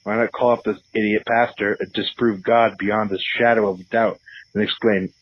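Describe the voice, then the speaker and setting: A man's voice: fairly low pitch, little pitch movement, moderate pace, breathy edge. A man playing a cocky, crude, argumentative atheist caller on a comedy radio show, sneering and dismissive. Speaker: phone-in caller. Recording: slightly dull phone-in sound.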